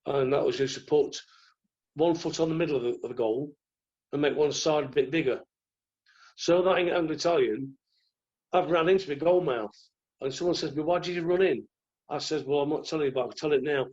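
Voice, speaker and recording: A croaky-voiced man, telling rambling stories as a podcast guest. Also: a little high, a little fast, a little mellow, dull audio. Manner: rambling, restarts often. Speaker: guest, former goalkeeper. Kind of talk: relaxed anecdotal chat.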